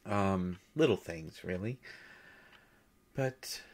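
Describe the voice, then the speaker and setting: Audio drama role, male actor: shy male god posing as substitute teacher, lowish voice, very slow halting delivery, gentle storyteller. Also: animated, heavily acted. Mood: thoughtful, playful. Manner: hesitant, nervous, halting with soft chuckles. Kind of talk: intimate audio roleplay, storytelling lecture.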